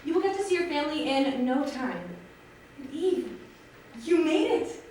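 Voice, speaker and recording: A woman's voice: high voice, lively intonation, measured pace, a little mellow. An actress in a staged reading playing a reassuring, upbeat woman doctor of a Martian colony. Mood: soothing, upbeat. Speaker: musical theatre actress. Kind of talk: staged reading, theatrical dialogue. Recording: echoey theatre, distant mic, faint noise.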